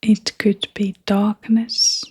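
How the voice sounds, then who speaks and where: A woman leading a guided meditation in a soft, half-whispered, breathy voice, with gentle, unhurried phrasing. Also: medium pitch, little pitch movement.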